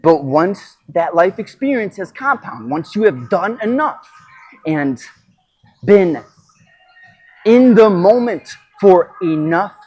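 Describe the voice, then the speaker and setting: A man speaking off the cuff as a podcast host and coach, in an emphatic, halting motivational monologue with pauses and repetition. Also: high voice, sing-song, a little mellow, husky, low-quality recording.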